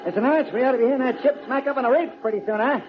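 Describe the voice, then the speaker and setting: An eager, excitable henchman in an old radio crime play. He is very high-pitched and talks fast. The sound is dull and old. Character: eager young male gangster's stooge. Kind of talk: 1940s radio acting. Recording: muffled, noisy old radio recording.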